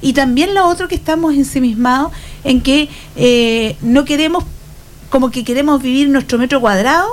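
A woman with a Chilean accent talks in a notably high voice. She is chatty and conversational, explaining her points through small imagined dialogues, as a panelist in an informal discussion would. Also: moderate pace, slight background noise. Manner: chatty, illustrates points with acted-out everyday dialogues.

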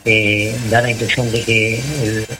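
A man's voice: fairly low pitch, completely flat delivery, unhurried, background noise. A man with a Chilean accent co-hosting a radio talk show, giving long opinionated commentary with many restarts. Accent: Chilean Spanish. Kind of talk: casual political talk on radio.

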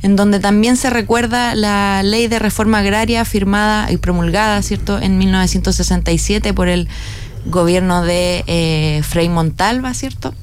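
A woman hosting a radio interview, talking in a measured way with many fillers and tag questions. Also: medium pitch, slight background noise. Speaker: radio host.

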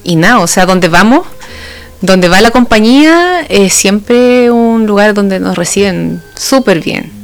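A woman's voice: mid-range voice, animated, measured pace, faint music behind. A woman from Chile with a Chilean accent, speaking as a guest in a casual radio interview. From Santa Cruz, Chile. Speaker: guest, folk ballet dancer.

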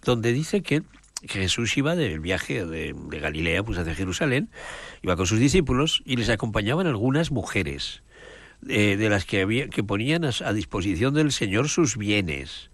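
Priest guest, low and animated, hunts for names mid-sentence, close dry mic. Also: male voice, moderate pace, no room sound. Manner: rambling, hesitant, searches for names. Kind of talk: casual religious talk on a show.

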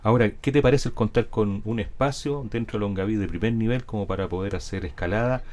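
Male host, lowish voice, chatty teasing questions. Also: fast talker, a little mellow. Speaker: local TV host. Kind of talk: interviewing guests.

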